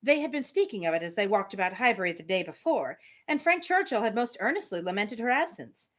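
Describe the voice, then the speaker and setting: A woman hosting a live reading of a novel, reading aloud and breaking off for casual asides in an animated way. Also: mid-range voice, brisk. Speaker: host of live book readings.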